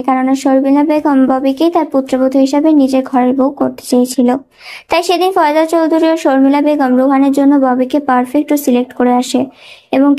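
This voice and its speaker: Female story narrator, high voice, scripted dramatic storytelling. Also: mellow.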